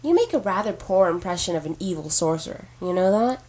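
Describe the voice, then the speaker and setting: A woman's voice: fairly low pitch, expressive, fairly quick, darkish tone. A woman playing a stressed, skeptical heir in an audio drama, incredulous and defiant in manner. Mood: challenging, questioning. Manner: incredulous, combative, sarcastic.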